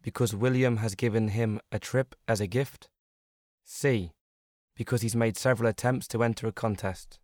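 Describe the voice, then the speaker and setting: A man's voice: fairly low pitch, fairly even intonation, normal speed, darkish tone, no room sound. Male exam narrator, dry sound. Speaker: listening test narrator.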